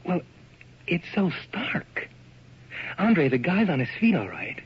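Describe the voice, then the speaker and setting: An actor playing an enthusiastic, slangy photographer's assistant in a radio drama, hip and wisecracking. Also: male voice, high voice, wildly swooping pitch, takes it slowly, breathy edge, heavily acted. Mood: excited, eerie. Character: breezy, enthusiastic young male photographer's assistant. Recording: noisy, dull old radio recording.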